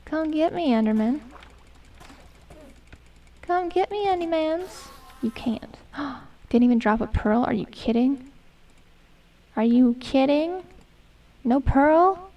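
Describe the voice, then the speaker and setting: A woman in her twenties, going hoarse as she chats casually and mutters to herself while streaming a game. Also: high-pitched, expressive, slow, long pauses, distant mic, highs cut off. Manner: chatty, self-mocking, hums and mutters to herself. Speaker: gaming streamer. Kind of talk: casual gameplay commentary.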